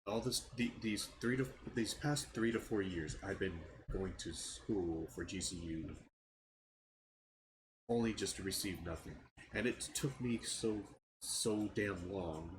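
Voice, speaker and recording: A young man with a stuffy nose, talking in a casual vlog about his frustrations, halting and restarting his words. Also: sits low, noisy background. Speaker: channel owner giving an update. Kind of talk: casual personal vlog rant.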